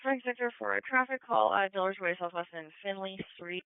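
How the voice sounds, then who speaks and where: Clear-voiced police dispatcher on narrow radio. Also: medium pitch, lively intonation, a little fast, no echo. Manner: matter-of-fact, procedural. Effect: two-way radio. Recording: dry phone-like scanner radio.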